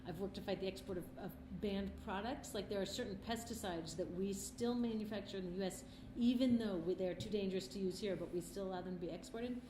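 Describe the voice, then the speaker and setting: Fast-talking, earnest middle-aged woman. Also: medium pitch. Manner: earnest, passionate. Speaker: interview guest, filmmaker. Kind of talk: conversational interview answers. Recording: roomy echo, faint background noise.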